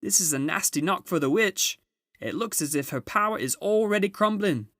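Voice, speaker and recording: A man voicing an excitable male talking beaver in a children's storybook, exclaiming and calling out. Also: sits high, normal speed, no room sound, studio quality, pitched above the performer's own voice. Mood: excited, triumphant.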